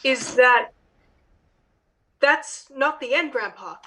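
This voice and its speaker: An inquisitive grandchild with a high, sharp voice. The child questions insistently, as in a bedtime scene of a stage drama. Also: female voice, takes it slowly, exaggerated voice. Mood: curious, insistent.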